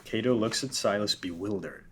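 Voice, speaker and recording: A man reading the stage directions and narration of a script at a table read in a steady, matter-of-fact way. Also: fairly low pitch, moderate pace, a little hiss or hum.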